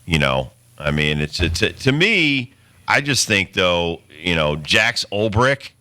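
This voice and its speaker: A man's voice: low voice, very animated, takes it slowly, bright, forceful tone, clear, resonant tone, no echo. A man hosting a sports talk show, speaking casually with blunt, opinionated takes.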